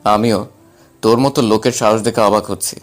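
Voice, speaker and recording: A man playing a protective, defiant young husband, the calm hero of an audio story, with a firm manner. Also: lowish voice, fairly quick, soft background music, natural voice. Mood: firm, challenging.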